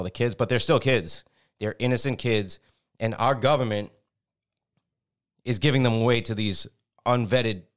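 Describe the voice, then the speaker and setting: A man with a low, smooth and resonant voice reads a news piece aloud on a podcast. He stumbles over words and restarts them as he goes, then turns to indignant comments of his own. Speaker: podcast co-host.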